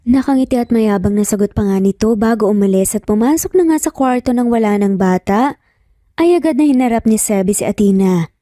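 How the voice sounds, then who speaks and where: A woman's voice: medium pitch, brisk, mellow, no room sound, studio quality. A woman with a Filipino accent reading scripted romance story narration.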